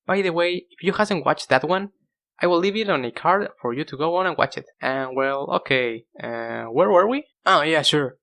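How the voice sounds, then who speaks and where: A young man with as his language hosts a casual, comedic video. His voice sits on the high side for a man and swings widely in pitch as he pokes fun at himself. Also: moderate pace, vocal fry. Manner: self-deprecating, animated. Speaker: video host. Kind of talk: casual scripted comedic narration to viewers.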